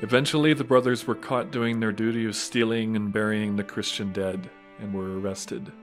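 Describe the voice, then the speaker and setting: A man's voice: lowish voice, steady tone, moderate pace, faint music behind. A man reading scripted storytelling narration for an audio drama.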